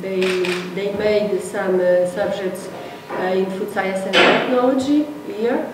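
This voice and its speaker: A woman introducing a guest speaker in halting, hesitant English. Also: mid-range voice. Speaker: host lecturer introducing a guest. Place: large echoey lecture hall. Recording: echoey hall from far off, noisy.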